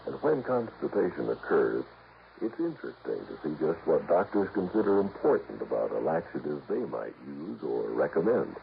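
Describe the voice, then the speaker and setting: A man reading a scripted 1950s radio commercial as an announcer, measured and polished. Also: extremely low pitch, very animated, gravelly. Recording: dull, noisy old radio recording.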